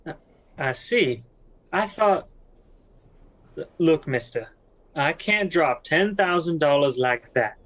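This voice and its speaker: A man playing a gentle, naive young newcomer rancher in a western audio drama, with a quiet, hesitant drawl. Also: on the high side, lively intonation, slow, long pauses, no echo. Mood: bewildered, troubled.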